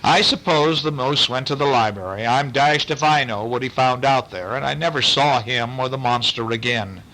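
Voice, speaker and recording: A man's voice: medium pitch, moderate pace, rough recording. A man reading a bedtime story aloud expressively, stopping to chat with and question a young listener. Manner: animated questioning, explains words to listener.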